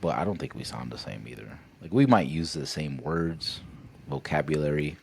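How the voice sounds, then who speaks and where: A man's voice: rumbling low, moderate pace, creaky, slightly rough. A young adult man co-hosting a podcast, telling stories in a casual chat and jumping into quoted voices. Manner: animated, jumps into quoted voices. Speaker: podcast co-host.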